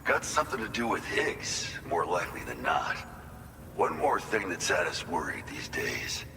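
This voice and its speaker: An actor playing a weary, grateful outpost worker in a video game cutscene, speaking in an earnest, halting, reflective way. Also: male voice, deep voice, wildly swooping pitch, vocal fry, big-room sound, noisy background, heavily acted. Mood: relieved, grateful. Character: weary, grateful male facility worker in a post-apocalyptic outpost.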